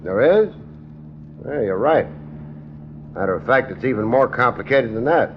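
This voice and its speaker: Man acting a wry laconic private eye, casual but very animated delivery, muffled 1950s radio show. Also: medium pitch, moderate pace, slight background noise, lightly acted. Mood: cool, teasing. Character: cool, wry male private investigator. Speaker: lead and narrator. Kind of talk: 1950s radio detective drama acting and narration.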